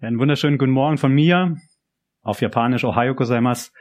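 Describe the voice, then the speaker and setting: A man's voice: mid-range voice, quick pace. Southern German man preaching casually. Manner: personal, conversational, with restarts and repetitions. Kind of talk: youth church sermon.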